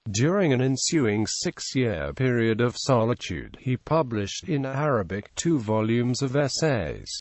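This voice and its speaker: A man narrating an encyclopedia article aloud with a steady read-aloud delivery. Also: mid-range voice, no room sound. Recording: dry, no room sound.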